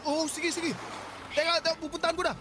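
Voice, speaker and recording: A man's voice: very high voice, little pitch movement, fast. An actor playing a careless young father and gambler, whiny and evasive, in a Tagalog radio drama. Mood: flustered, evasive. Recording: noisy street ambience with vehicles.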